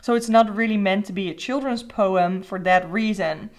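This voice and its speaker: A woman's voice: mid-range voice, normal speed. A woman with a Dutch accent, a native Dutch speaker who talks in English as a tarot host. She chats casually and rambles with fillers and restarts while showing a deck. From Netherlands. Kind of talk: casual deck flip-through.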